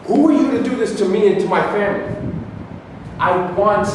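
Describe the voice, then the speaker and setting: A man's voice: sits high, moderate pace, close to an everyday voice. Man acting an aggrieved Palestinian man, echoey hall. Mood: angry, accusing. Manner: declamatory, accusing. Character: aggrieved dispossessed Palestinian man, indignant and accusing. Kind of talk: staged reading of a play. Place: reverberant indoor hall, staged reading. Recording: echoey hall from far off, hissy.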